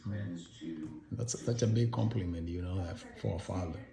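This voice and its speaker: A middle-aged man conducting an informal interview, speaking haltingly and rephrasing his questions as he goes. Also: deep voice, creaky, warm tone. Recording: echoey room with people in background.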